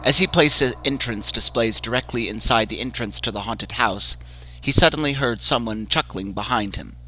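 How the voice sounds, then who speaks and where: Male horror-story reader, measured and ominous, mid-pitched, music bed. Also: pushed and bright, low-quality recording. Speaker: narrator. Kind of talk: dramatic horror story reading.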